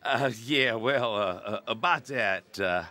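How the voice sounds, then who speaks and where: A man's voice: mid-range voice, takes it slowly, pushed and bright. An actor playing a proud, scheming middle-aged tavern-keeper father in a radio drama, jovial in manner. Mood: sheepish.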